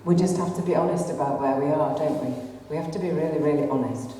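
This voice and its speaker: A woman's voice: deep voice, fast, warm tone. A middle-aged woman with a Greater Manchester accent gives an impassioned public talk to an audience, full of ums and rhetorical questions. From North West England. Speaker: activist speaker. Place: arts centre hall. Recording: distant echoey hall recording.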